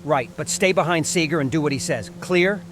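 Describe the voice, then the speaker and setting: A man playing a gruff, wary army sergeant who gives curt, commanding orders in an audio comic. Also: on the high side, a little fast, background noise, close to an everyday voice. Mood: questioning.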